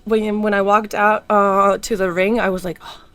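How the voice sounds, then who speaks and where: A woman's voice: mid-range voice, flat delivery, normal speed, a bit bright. A young woman from Spain with an American New Jersey accent, talking casually as an interview guest with many fillers. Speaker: guest, teacher and former boxer.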